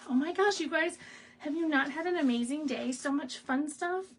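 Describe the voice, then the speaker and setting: A woman hosting a live stamping demo, raspy and clearing her throat from asthma, chatting in a rambling way with laughs and asides. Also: high-pitched, moderate pace, slight background noise. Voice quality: gravelly, husky. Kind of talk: casual live craft demo chat.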